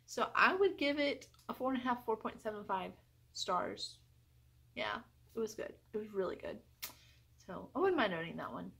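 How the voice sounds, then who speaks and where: A woman talks through book reviews in a casual vlog style, with a mid-pitched voice. She is effusive and emphatic, circling back to repeat phrases when she wants to stress a point. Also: sing-song, normal speed, creaky, fairly bright tone, a little hiss or hum.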